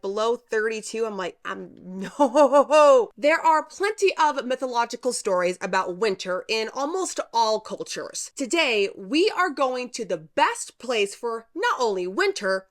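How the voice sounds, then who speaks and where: A woman's voice: fairly high pitch, a bit bright. A young woman hosting her channel, chatty and playful, laughing often, with a congested nose. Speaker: YouTube host. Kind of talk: casual chatty storytelling vlog. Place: home room with humidifier.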